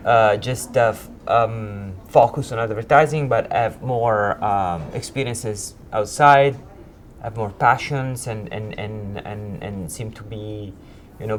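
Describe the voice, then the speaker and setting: A man in his forties from Italy with an Italian accent, a creative director recounting his career in an informal interview in hesitant, halting phrases. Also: mid-range voice, slight background noise. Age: 40s. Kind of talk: informal interview, telling own career story.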